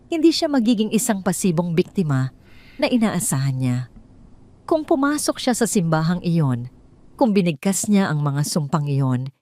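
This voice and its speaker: A Filipino woman narrates a scripted story. Her voice sits a little low for a woman, and she delivers the text as dramatic storytelling. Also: darkish tone, no echo, studio quality.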